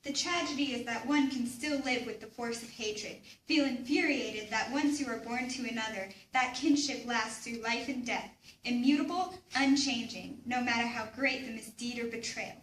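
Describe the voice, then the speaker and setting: A woman's voice: a little high, normal speed, a bit bright. A young woman giving a scripted dramatic recitation as a student in a readers theater group. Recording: echoey room, distant mic, faint noise.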